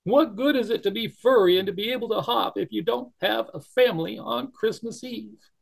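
A man voicing a small, lonely young bunny who pleads and sniffles in a high voice. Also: moderate pace, vocal fry, exaggerated voice. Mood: tearful.

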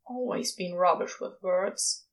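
A woman voicing a laconic male supernatural being in a story, casual and halting, bashful with tenderness underneath. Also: lowish voice, close to an everyday voice. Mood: halting, nervous. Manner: casual, halting, clipped phrasing. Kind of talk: podfic character dialogue.

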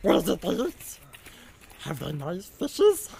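A wretched, starving creature, whining in a high, gravelly croak that leaps wildly up and down. He stretches every word out slowly and pleads for himself in the third person. There is a faint hiss of noise under the voice. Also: wildly swooping pitch, a little mellow, exaggerated voice. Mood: greedy. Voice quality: gravelly, croaking, sing-song. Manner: drawn-out, wheedling, self-pitying, talks of himself in third person. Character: wretched, thin, greedy male creature, whining and hissing. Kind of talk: audiobook character voice.

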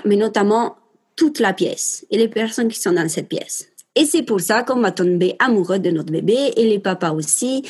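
Young woman, Italian accent, husky voice with a lively pitch, speaks emphatically and straight to her listeners. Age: 20s. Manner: passionate and emphatic, addresses listeners directly. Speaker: mother sharing her home birth story. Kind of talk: casual spoken monologue.

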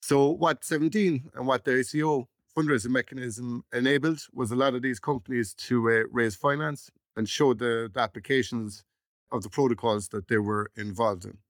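A man's voice: medium pitch, fairly even intonation, normal speed, no room sound, studio quality. A man, a startup chief executive explaining his background conversationally as an interview guest.

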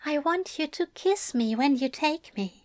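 Woman doing a male angel, soft murmur, sly, coaxing, higher than her own voice, slow, hushed. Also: lively intonation.

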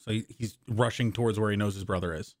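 Low dry-voiced male player. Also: fairly even intonation, fast talker, dry recording. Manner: easygoing, explains his character's actions. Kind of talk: casual table talk.

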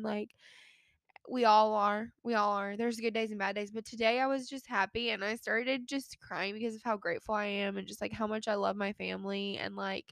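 A woman's voice: medium pitch, no room sound, clean studio sound. Chatty young American woman hosting a podcast. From United States. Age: young adult. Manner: chatty, informal, rambling with frequent "like". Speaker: podcast host. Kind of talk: casual stream-of-consciousness podcast intro.

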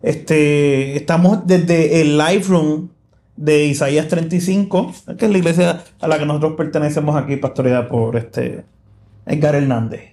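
A fairly high-voiced man hosts a relaxed podcast, talking loosely and circling back with fillers and restarts. He sounds easygoing and laughs readily, recorded in a church live room. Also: moderate pace, slight background noise. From South Texas. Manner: chatty, rambling with many fillers and restarts, laughs easily. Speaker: podcast host. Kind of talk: casual podcast chat.

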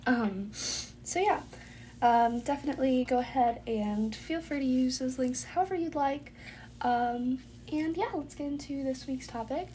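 A woman's voice: sits high, a little slow, mellow, a little hiss or hum. A young woman hosting her own podcast in casual, rambling chat, with a congested, phlegmy-sounding voice. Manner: rambling, filler-heavy, chatty. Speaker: podcast host.

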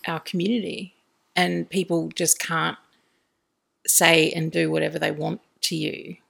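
A woman's voice: deep voice, little pitch movement, measured pace, creaky, a bit bright. A woman hosting a podcast, chatting casually with a teasing, wry manner. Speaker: podcast host. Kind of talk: casual conversation.

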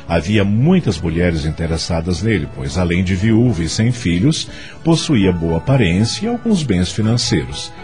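A man's voice: fairly low pitch, a little mellow. A man narrating a scripted radio drama as its storyteller.

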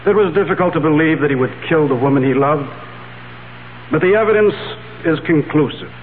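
Old radio drama: solemn male courtroom accuser, played by an actor, measured and emphatic, muffled with faint hum. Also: on the high side, natural voice. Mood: grave, accusing. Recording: muffled, hissy 1970s radio recording with hum.

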